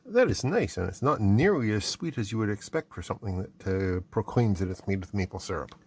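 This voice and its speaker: A man hosting a casual mail-opening video, reading labels aloud, thinking out loud and patiently explaining technical parts. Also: on the low side, expressive, fairly quick, a little hiss or hum. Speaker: channel host.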